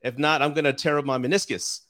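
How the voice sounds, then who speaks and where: A man's voice: on the high side, steady tone, fast talker, fairly bright tone. A man in his forties hosting a live podcast interview, chatty and easygoing, laughing often and repeating himself as he talks. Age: 40s. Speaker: podcast host. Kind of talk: casual live-stream interview.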